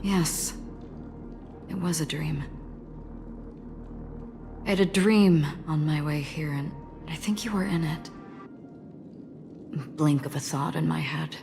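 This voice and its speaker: A woman playing an enigmatic, composed wandering ranger in a video game, speaking in a measured, formal, deadpan way. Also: low-pitched, vocal fry, big-room sound, over music, full character voice. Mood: calm, wistful.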